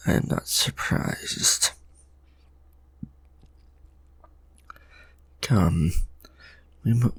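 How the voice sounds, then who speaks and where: A man voicing a brooding, protective male vampire lord in an audio roleplay, speaking formally in an intense, breathy whisper into a close microphone. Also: lowish voice, fairly bright tone, dry recording, heavily acted. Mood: tender. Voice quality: gravelly, breathy. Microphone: close ASMR microphone.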